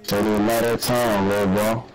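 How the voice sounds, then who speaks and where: A man's voice: lowish voice, little pitch movement, brisk, soft background music, poor audio. A man in a game voice chat, jeering and laughing at the streamer. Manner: laughing, repeating jeers. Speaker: player in voice chat. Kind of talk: trash talk.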